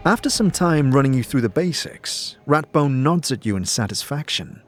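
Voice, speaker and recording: A man with a mid-pitched voice narrates over clear background music. He reads scripted fantasy audio drama in a dramatic storytelling style. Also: expressive, normal speed.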